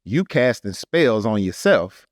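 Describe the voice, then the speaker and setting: A man's voice: on the low side, sing-song, slow, no echo, clean studio sound. An American man co-hosting a podcast, giving casual commentary in an emphatic, slangy and somewhat preachy manner. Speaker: podcast co-host.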